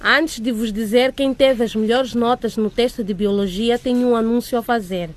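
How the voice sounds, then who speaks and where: A strict female headmistress, played by an actress with a fairly high voice. She addresses her class briskly and with authority, as in a radio drama. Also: steady tone, natural voice. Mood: strict, businesslike.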